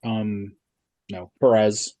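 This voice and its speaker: A man's voice: lowish voice, flat delivery, extremely slow, warm tone, no echo. Male quiz contestant, close dry sound. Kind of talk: casual quiz answering.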